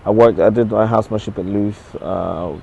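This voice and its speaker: A man's voice: fairly low pitch, creaky, dark tone, highs cut off. A young Nigerian man, a musician, chatting casually in an interview, often stumbling and restarting his sentences. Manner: halting, many restarts and fillers. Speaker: musician guest.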